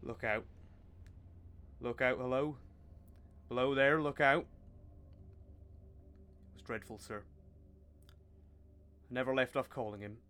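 An actor playing a deferential, plain-spoken Victorian engine driver, shaken, in a radio play. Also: male voice, medium pitch, slow, pauses often, a bit bright, clean tone. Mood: distraught. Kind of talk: dramatic radio play acting.

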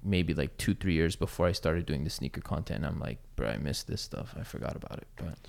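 A man's voice: rumbling low, fairly quick, gravelly. A man in his thirties, a sneaker YouTuber, chatting casually and rambling with lots of fillers and swearing. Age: 30s. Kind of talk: casual chat.

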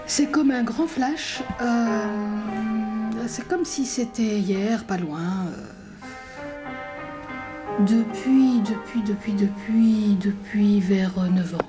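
A woman interviewee recalling things in a halting, repetitive way as she searches for words. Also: medium pitch. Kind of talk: halting spoken recollection. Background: guitar music.

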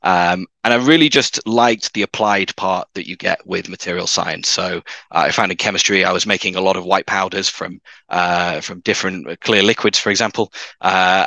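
Low bright-voiced manchester guy, lots of uhs, dry sound. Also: creaky, no echo. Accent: Mancunian. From north of England. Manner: hesitant, many "uh"s and restarts. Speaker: guest, materials scientist. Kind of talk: podcast interview.

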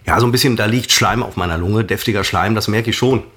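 A man's voice: on the low side, fast talker, crowd noise. A middle-aged man with a cold and a slightly nasal voice, co-hosting a podcast, rambling defensively with lots of fillers. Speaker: podcast co-host. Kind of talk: casual podcast banter.

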